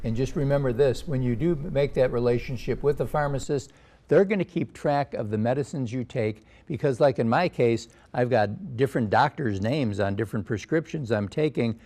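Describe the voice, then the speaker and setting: A man's voice: mid-range voice, normal speed, mellow. An older man hosting a casual health interview, asking leading questions and adding personal asides. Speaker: show host.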